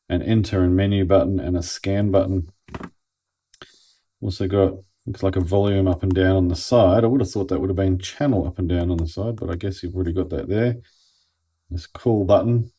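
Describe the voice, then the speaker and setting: A man's voice: sits low, warm tone, breathy edge. An Australian man giving a casual hands-on product review in a laid-back, plain-spoken way, as if thinking aloud. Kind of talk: casual unboxing and review commentary.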